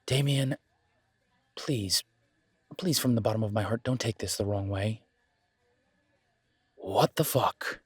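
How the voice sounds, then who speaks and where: A man playing a wary, sardonic werewolf mechanic in an audio drama, with a husky voice and a dry, measured manner. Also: lowish voice, no room sound. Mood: incredulous. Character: wary, sardonic male werewolf mechanic, blunt but polite. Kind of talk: audio roleplay drama dialogue.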